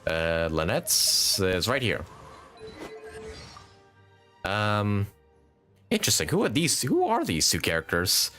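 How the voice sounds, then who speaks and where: A male streamer chats casually over light game music. His voice is fairly low but swings widely in pitch as he thinks out loud. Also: huge pitch swings, slow, long pauses. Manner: musing, thinking aloud. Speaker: game livestreamer. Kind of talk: casual live gaming commentary.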